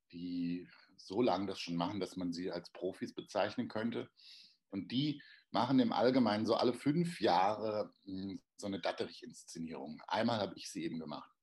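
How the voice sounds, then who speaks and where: A playwright with a Hessian accent, chatting in an interview and often searching for words and restarting. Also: male voice, deep voice, a little mellow. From Darmstadt, Germany. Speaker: playwright guest. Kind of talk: casual podcast interview.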